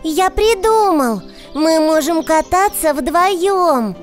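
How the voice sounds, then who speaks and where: A woman voicing an excitable, playful little piglet brother in a children's toy cartoon, babyish and full of exclamations. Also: extremely high pitch, slow pace, soft background music, full character voice. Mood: excited.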